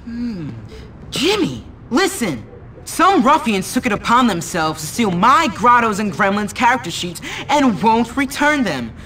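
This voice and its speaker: A man's voice: very high-pitched, very animated, measured pace, pushed and bright, slight background noise, heavily acted. A man voicing an aggrieved, pompous nerd schoolboy in a video game, speaking in affected, formal words. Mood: indignant. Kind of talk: video game cutscene acting.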